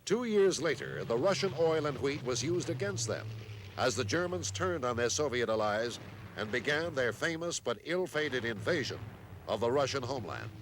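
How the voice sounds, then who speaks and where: Male newsreel narrator with lively intonation. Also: medium pitch, normal speed, slight background noise. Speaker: documentary narrator. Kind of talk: scripted historical newsreel narration.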